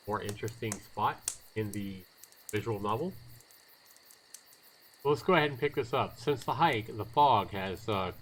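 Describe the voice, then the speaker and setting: A man with a fairly low voice hosts a casual game livestream. He rambles informally to his viewers, halting as he searches for words, and reads game text aloud. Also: fairly bright tone, slight background noise, poor audio. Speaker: livestream host playing a visual novel.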